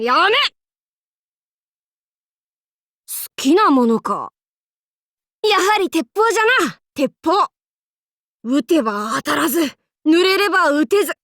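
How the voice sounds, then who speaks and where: Androgynous young warlord, high voice, dry close sound, brash playful swagger, old-fashioned lordly speech, anime game character. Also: female voice, a bit bright, dry recording. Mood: brash, amused.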